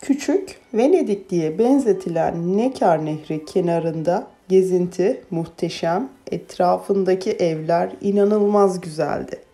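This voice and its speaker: Mellow low-pitched woman narrating travel. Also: a little slow, few pauses. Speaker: travel vlogger.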